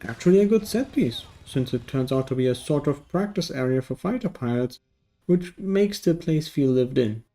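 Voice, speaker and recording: A man's voice: on the high side, animated, moderate pace, warm tone. A man from Germany, narrating a casual game review in a dry, wry manner.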